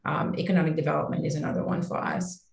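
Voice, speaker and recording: A woman from Haiti with a very low voice speaks in a measured, halting way, often restarting her sentences. She is a founder on a webinar panel. Her voice reaches the call mic through a noticeably echoey room. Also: sing-song, vocal fry, slight background noise. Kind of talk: panel discussion answer. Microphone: webinar call microphone. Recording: roomy echo on a video call.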